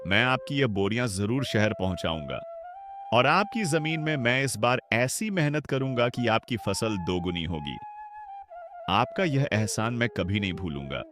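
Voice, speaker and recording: A man's voice: mid-range voice, lively intonation, normal speed, close to an everyday voice. A man voicing a humble, hardworking poor farmer in a cartoon, talking in a respectful, earnest way. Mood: grateful. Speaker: voice actor. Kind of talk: cartoon dialogue acting. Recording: background music under voice.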